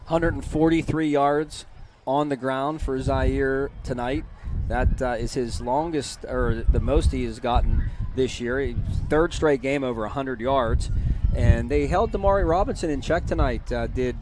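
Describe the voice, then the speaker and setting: A man calling a high school football broadcast from the press box, chatty and energetic, and losing his voice. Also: noisy background. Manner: chatty, energetic, reads scores quickly. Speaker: play-by-play broadcaster. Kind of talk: postgame sports commentary.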